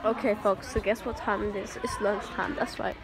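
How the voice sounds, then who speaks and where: A woman's voice: medium pitch, steady tone, normal speed. A teenage girl vlogging her school day on a handheld phone, chatty and playful. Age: teens. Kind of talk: casual school-day vlog. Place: busy school cafeteria and classrooms. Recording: loud crowd chatter and noise.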